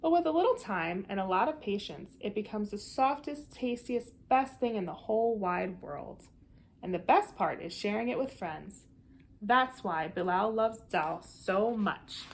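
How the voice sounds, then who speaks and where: A woman with a medium-pitched voice reads a picture book for children. Her delivery is expressive and deliberate, shaped like storytelling. There is faint background noise on the recording. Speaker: storybook reader.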